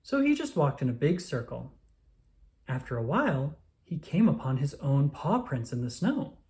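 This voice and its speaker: A storyteller reading a children's picture book aloud with expressive narration. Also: mid-range voice, normal speed.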